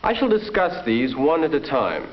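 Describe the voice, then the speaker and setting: A young man playing a solemn schoolboy who recites a statesman's speech in a halting, deliberate way in a 1950s educational film. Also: medium pitch, sing-song, slight background noise, lightly acted. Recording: muffled 1950s film soundtrack.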